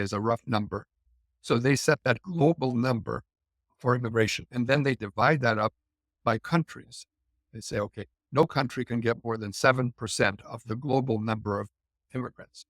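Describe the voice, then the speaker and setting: A man's voice: medium pitch, brisk, a bit bright, no echo. A middle-aged Canadian man explaining points thoughtfully in a conversational discussion, with frequent fillers and restarts. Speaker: guest immigration commentator.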